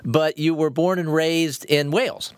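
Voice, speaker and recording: A woman hosting a podcast interview, friendly and quick to laugh. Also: low-pitched, steady tone, no room sound, clean studio sound. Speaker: podcast host.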